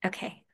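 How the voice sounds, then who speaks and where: A woman meditation teacher giving a relaxed, casual dharma talk over a video call, laughing often and using lots of fillers. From Canada.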